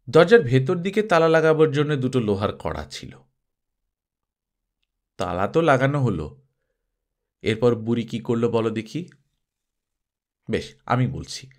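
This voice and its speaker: A man reading a story aloud as an expressive scripted narration. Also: mid-range voice.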